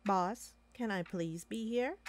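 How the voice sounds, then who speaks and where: A woman's voice: medium pitch, slow pace, background noise, lightly acted. A woman playing a playful, nosy office underling in an audio roleplay, with a teasing manner. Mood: playful, eager. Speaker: voice actor. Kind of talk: amateur audio roleplay acting.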